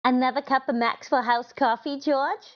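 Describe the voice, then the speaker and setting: Lady doing a ditzy chatty 40s radio housewife, dreamy, highish voice, bit muffled. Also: steady tone, moderate pace, close to an everyday voice. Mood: cheerful. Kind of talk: 1940s radio comedy acting.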